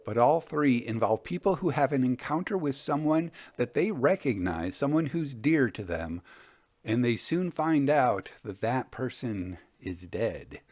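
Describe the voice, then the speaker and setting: A man narrates old ghost tales as host. His medium-pitched voice is measured and expressive, with a theatrical storyteller's delivery. The sound is dry, with hardly any room to it. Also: no room sound.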